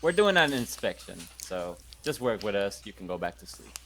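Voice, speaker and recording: A man's voice: on the low side, sing-song, normal speed, a bit bright, slight background noise. A man playing a tabletop roleplaying game, switching between in-character talk and bossy, teasing banter.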